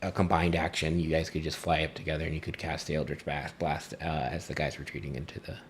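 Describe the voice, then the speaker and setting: Deep-voiced man running a tabletop game. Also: little pitch movement, a little hiss or hum. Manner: halting, conversational explaining. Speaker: game master. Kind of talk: narrating a tabletop game in casual chat.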